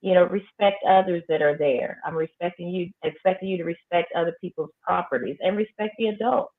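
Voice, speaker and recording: A woman high school principal, talking firmly and emphatically in a casual interview. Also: a little fast. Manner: emphatic, firm, repeats phrases for effect. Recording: tinny phone-like line.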